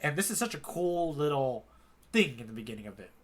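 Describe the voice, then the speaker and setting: A man's voice: a little high, very animated, brisk. A man co-hosting a podcast in casual chat about manga, joking and acting out imagined quotes. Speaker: podcast co-host.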